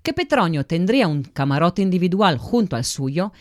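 A woman's voice: on the low side, big pitch swings, normal speed, darkish tone, no room sound, studio quality. A woman reading scripted story narration, addressing the listeners directly like a storyteller.

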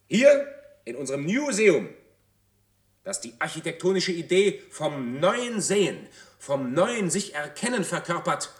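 Bombastic orator, lively, echoing voice. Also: male voice, fairly high pitch. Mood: solemn, grand. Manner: declamatory, rhetorical repetition, pompous. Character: bombastic male artist-prophet rehearsing a rousing public speech. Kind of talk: theatrical oratory in a radio play. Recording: echoey, reverberant voice.

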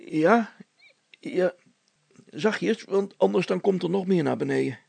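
A man's voice: sits high, takes it slowly, gravelly, a little mellow, slightly rough, no echo, voice pushed up, exaggerated voice. A man voicing a practical, irritable father in a story, plain-spoken and urgently directing others. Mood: careful, instructing. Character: Brilstra, practical, irritable, take-charge father, adult man.

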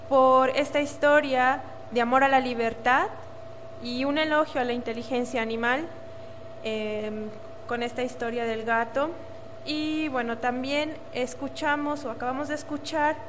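High-voiced Mexican woman hosting radio. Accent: Mexican Spanish. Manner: relaxed, with fillers. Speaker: radio show host. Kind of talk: casual radio presenting. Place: community radio studio. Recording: long reverb, faint hum.

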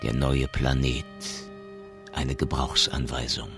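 An actor as the sober, measured narrator of a science fiction radio drama, reading scripted storybook narration. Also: male voice, rumbling low, little pitch movement, gravelly, loud music behind the voice, heavily acted.

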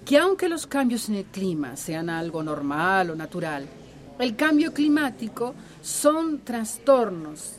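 Agreeable village woman, medium pitch. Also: very animated, measured pace. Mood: explaining. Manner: agreeable, conversational. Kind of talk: radio drama acting, conversational.

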